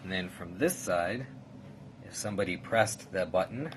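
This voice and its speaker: A man's voice: sits low, animated, moderate pace. A man giving a casual, matter-of-fact, step-by-step tutorial as a product reviewer. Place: indoors in a room. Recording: clear background noise, room ambience.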